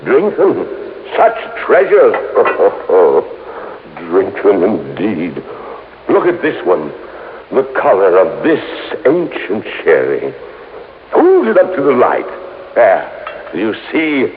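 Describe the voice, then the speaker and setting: An actor in an old radio drama playing an eccentric, boastful older recluse who speaks in halting, repetitive, excitable bursts with mumbled hums. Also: male voice, fairly low pitch, exaggerated voice. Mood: rapt, gloating. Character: eccentric reclusive retired horror-film maker, squat castle owner. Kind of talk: 1950s radio drama acting. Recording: muffled, noisy old radio recording.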